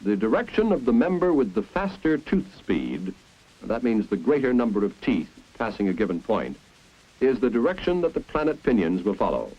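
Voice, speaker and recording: A man's voice: medium pitch, very animated, normal speed, breathy edge. An American man narrating a 1950s instructional film in a didactic, explanatory way. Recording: dull, muffled 1950s film soundtrack.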